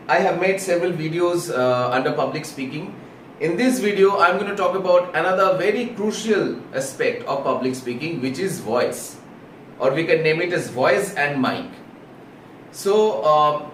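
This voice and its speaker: A man with an Indian accent giving an instructional talk as a public speaking coach, earnest and deliberate, repeating phrases for emphasis. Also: on the high side, slight background noise. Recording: echoey room, distant microphone.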